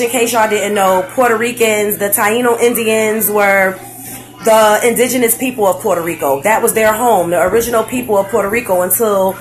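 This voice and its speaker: An excited young woman talks casually into her home computer mic, full of slang and filler words. Her voice sits at an ordinary middle pitch. Faint music plays in the background. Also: low-quality recording. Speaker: vlogger. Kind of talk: casual facebook live chat.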